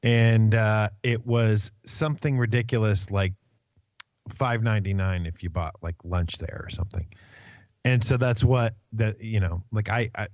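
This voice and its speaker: A man's voice: on the low side, moderate pace, no room sound. A man co-hosting a podcast, chatting casually with a wry, grumbling sense of humour. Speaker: podcast co-host. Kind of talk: casual chat. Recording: slightly dull, lo-fi podcast audio.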